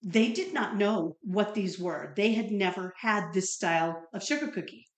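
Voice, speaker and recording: A woman's voice: medium pitch, lively intonation, normal speed. A middle-aged woman from Ohio, chatting casually and telling stories as a podcast co-host. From Ohio, United States. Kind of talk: casual chat, storytelling. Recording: roomy, echoing room sound.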